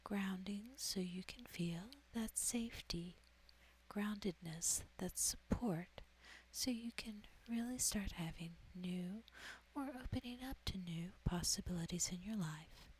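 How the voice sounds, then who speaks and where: Whispering woman, slow ASMR meditation guide. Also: medium pitch, a little hiss or hum, low-quality recording. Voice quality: breathy, whispery. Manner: soothing, gentle, unhurried.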